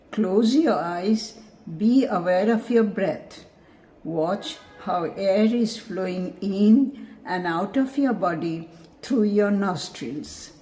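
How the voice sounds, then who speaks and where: A woman leading a scripted guided meditation in a measured, soothing, instructive manner. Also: medium pitch, warm tone, slight background noise.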